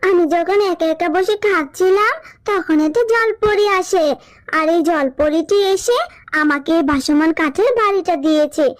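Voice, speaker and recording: Casting: woman as timid little cartoon girl, extremely high voice, sweet and childlike. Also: moderate pace. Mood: happy, grateful. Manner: childlike, plaintive. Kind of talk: Bengali children's cartoon dub.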